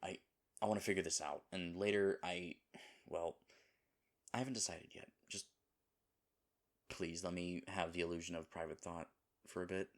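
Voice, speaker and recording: A man's voice: sits low, fairly even intonation, normal speed, long pauses. A man playing a sweet, flustered, self-deprecating boyfriend in an intimate roleplay audio, hesitant and rambling as he corrects himself. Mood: awkward, pleading.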